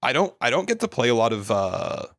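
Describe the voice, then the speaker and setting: A man's voice: medium pitch, brisk, creaky, no room sound. A man who is a guest player on a tabletop game podcast, chatting casually about the session and restarting sentences as he looks for words. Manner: relaxed, searching for words with restarts. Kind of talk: casual post-game chat.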